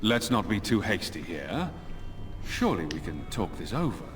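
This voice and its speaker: A man's voice: low-pitched, very animated, moderate pace, a little hiss or hum. An actor playing a smug, entitled young nobleman villain with a condescending, menacing manner in a fantasy video game. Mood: wary, bargaining. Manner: condescending, smooth-talking, menacing.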